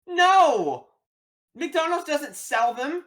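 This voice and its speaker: A man's voice: very high-pitched, animated, extremely slow, fairly bright tone, voice pushed up, heavily acted. A man voicing a fussy woman customer who insists on returning an item. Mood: insistent.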